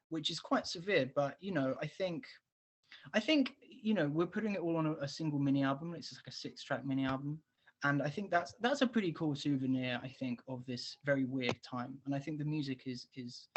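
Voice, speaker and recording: A man's voice: fairly high pitch, a bit bright, rough recording. A man from Britain, a band member answering interview questions in a casual chatty way, often repeating words as he goes. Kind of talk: casual video-call interview.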